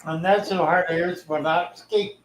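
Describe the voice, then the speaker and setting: A man's voice: on the high side, fairly even intonation, normal speed, roomy sound, slight background noise, rough recording. An older man hosting a livestream about fish keeping, talking in a folksy, easygoing way and reading viewer comments aloud. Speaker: livestream host, fish keeper. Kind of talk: casual livestream chat.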